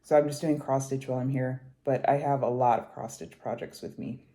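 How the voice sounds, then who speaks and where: A young woman with a stuffy, congested voice talks casually and rambles along. Her voice sits low and mellow. She is recorded at a kitchen table in a house. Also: fairly even intonation, fairly quick. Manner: rambling, conversational, hushed while kids nap. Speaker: cross-stitch vlogger. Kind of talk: casual chatty vlog.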